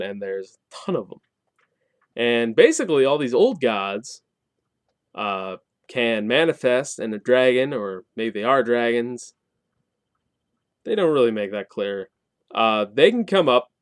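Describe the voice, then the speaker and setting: Guy casually explaining a game setting, wry sarcastic asides, average pitch, dry close sound. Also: clear, resonant tone, no room sound. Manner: colloquial and wry, with sarcastic asides and mock dialogue. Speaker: tabletop game reviewer and host.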